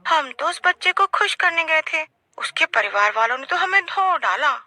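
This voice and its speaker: A woman voicing an indignant, haughty witch in a cartoon story, shrill and complaining. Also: animated, moderate pace, sharp tone, dry recording, heavily acted. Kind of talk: cartoon horror-comedy story voice.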